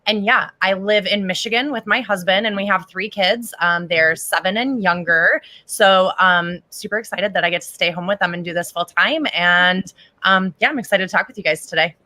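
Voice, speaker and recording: A cheerful woman with a bright, forceful voice introduces herself on a video call and often says "um." The sound is very dry. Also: medium pitch, moderate pace, no echo. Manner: cheerful, filler-heavy. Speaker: agency owner guest.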